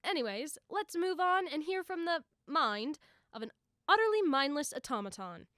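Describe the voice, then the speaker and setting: A woman's voice: high voice, very animated, normal speed, fairly bright tone, no echo, clean studio sound. A young woman playing a bossy, theatrical young god who hosts a talk show, easily exasperated. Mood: brisk host. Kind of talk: amateur audio drama acting.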